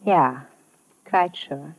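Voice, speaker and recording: An actress playing a skeptical, confident industrial chemist in a radio drama, measured and formal. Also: female voice, low-pitched, full character voice, low-quality recording. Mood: assured. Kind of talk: old-time radio drama acting.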